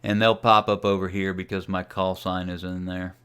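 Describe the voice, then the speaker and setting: Low, clear-voiced man thinking aloud. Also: level tone, moderate pace, bright, forceful tone. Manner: unscripted, thinking aloud while operating. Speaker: amateur radio hobbyist demonstrating software. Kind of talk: casual screen-share tutorial narration.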